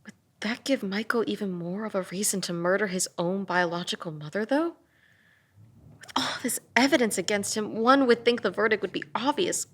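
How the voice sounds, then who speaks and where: A woman playing an earnest, exasperated detective with a sharp tongue in an audio drama table read. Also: mid-range voice, moderate pace, natural voice. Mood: troubled, pensive. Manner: earnest, blunt, sardonic.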